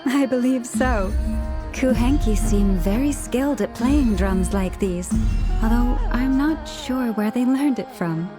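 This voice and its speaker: An actress playing a composed, gentle young woman guide in a video game, speaking politely and formally. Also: a little high, a little slow, over music, lightly acted. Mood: thoughtful. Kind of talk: video game character acting.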